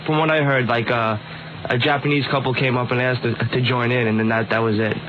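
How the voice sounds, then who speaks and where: A man's voice: medium pitch, fairly even intonation, a little fast. Guy egging the guest on to spill the story, hiss behind him. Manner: prodding, gossipy. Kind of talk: radio talk show banter. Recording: muffled radio broadcast with noise.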